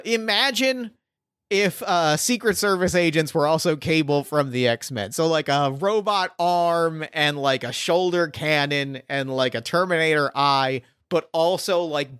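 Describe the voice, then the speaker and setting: A man game-mastering a tabletop game, recorded close and dry with no room sound at all. His voice is clean and resonant and sits a little high. He rambles, circles back and thinks out loud as he sets up each scene. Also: measured pace, clear, resonant tone, dry recording. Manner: rambling, thinks aloud with many fillers.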